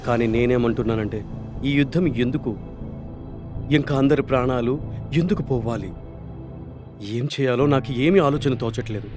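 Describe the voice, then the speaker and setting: A man voicing a stern but protective animal king in an animated story, speaking earnestly. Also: mid-range voice, moderate pace, pauses often, music in the background, lightly acted. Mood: worried.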